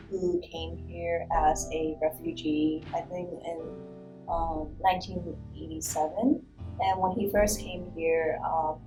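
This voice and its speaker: A young woman from Vietnam, a student, telling her family's story casually with lots of fillers and pauses. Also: on the low side, darkish tone, low-quality recording. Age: young adult. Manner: casual, rambling with many fillers. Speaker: student interviewee. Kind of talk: personal storytelling.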